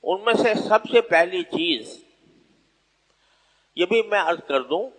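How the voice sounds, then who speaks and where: A man delivers a religious sermon in a somewhat high-pitched voice that rings out with long hall reverb. He explains formally and humbly, setting out his points in short, deliberate phrases with pauses between them. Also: expressive, fairly bright tone. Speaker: Islamic preacher addressing listeners. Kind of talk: sermon, unscripted religious exposition.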